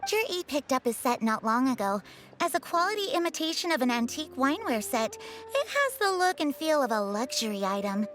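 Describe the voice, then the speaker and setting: A woman's voice: sits high, sing-song, brisk, fairly bright tone. A woman playing an antiques shop owner in a video game, speaking in polite sales talk.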